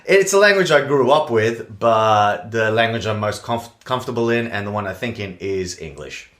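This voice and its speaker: A man's voice: fairly low pitch, expressive, moderate pace, fairly bright tone. A man from Australia co-hosting a casual comedy podcast, teasing and jokey.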